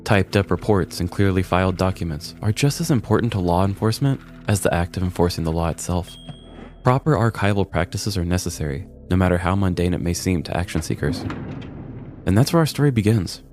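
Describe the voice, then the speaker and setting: A man's voice: sits low, expressive, a little fast, darkish tone. A man narrating a scripted comedic story as a podcast host, in a wry, mock-serious manner. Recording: music bed behind the voice. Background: background music.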